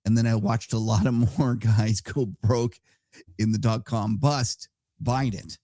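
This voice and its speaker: Brief: male podcast co-host, gravelly and mellow, dry close sound, measured delivery. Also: fairly low pitch, fairly even intonation, dry recording. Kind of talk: casual podcast chat.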